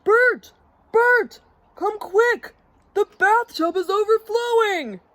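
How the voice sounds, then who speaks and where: A woman voicing a mischievous, excitable male puppet prankster from a storybook, exclaiming and teasing playfully. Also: high voice, measured pace, pitched above the performer's own voice. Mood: mock alarm.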